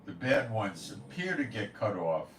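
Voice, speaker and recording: A man's voice: on the low side, big pitch swings, measured pace. A man hosting a prophecy commentary channel, talking in a casual, rambling monologue with a blunt, scoffing, opinionated manner. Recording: echoey room, far from the mic, faint noise.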